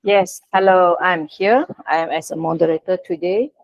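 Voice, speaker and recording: A woman with a Malaysian accent moderating an online session in informal talk, polite and halting, over dull lo-fi call audio. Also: lowish voice. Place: online video meeting.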